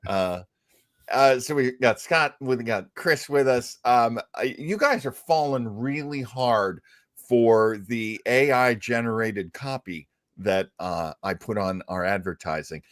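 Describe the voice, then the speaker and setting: A man's voice: medium pitch, slow pace, no room sound, studio quality. A man hosting a casual live show, chatting in a jovial, folksy way with exclamations. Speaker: show host.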